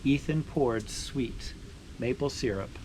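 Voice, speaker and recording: A man narrates a children's picture book in an expressive, deliberate way, leaning on key words. His voice sits at an ordinary male pitch. There is some faint ambient noise behind him. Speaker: storyteller reading a picture book aloud. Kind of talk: children's read-aloud narration. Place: beside a boiling maple sap evaporator. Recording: steady ambient background noise.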